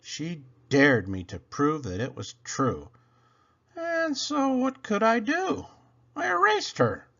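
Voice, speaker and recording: A man with a husky voice performs children's poetry at an unhurried pace. His pitch swings dramatically as he leans into words with playful emphasis and pauses. The recording is a little dull and lo-fi. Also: wildly swooping pitch, a bit bright. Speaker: reader of children's poems for a read-aloud channel. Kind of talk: theatrical children's poetry read-aloud.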